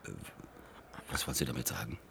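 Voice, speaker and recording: An actor playing a pompous, irritable lawyer in a radio play, speaking emphatically and rhetorically. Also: male voice, extremely low pitch, fast talker, vocal fry, a bit bright, a little hiss or hum, full character voice. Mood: taken aback. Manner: emphatic, rhetorical, easily exasperated. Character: pompous, irritable male lawyer praising national tradition. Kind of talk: naturalistic radio play dialogue.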